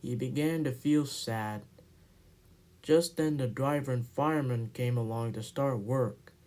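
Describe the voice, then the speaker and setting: A man narrating a children's storybook aloud in an amateur, expressive read-aloud style. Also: medium pitch, unhurried.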